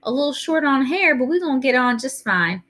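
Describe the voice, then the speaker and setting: Lady reader as a tiny old southern grandma, feisty n folksy, bright high voice, bosses everyone lovingly. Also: animated, fairly quick. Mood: affectionate. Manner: folksy, emphatic, commanding.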